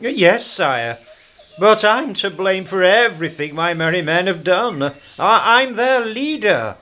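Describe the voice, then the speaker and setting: An amateur actor playing a humble, earnest outlaw leader in a radio play, a little halting. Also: male voice, high voice, expressive, sharp tone, exaggerated voice.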